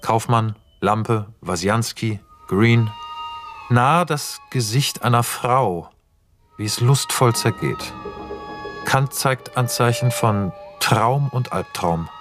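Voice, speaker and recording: A man narrating a radio play, reading out scripted stage directions in a measured, matter-of-fact way. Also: medium pitch, music in the background, lightly acted.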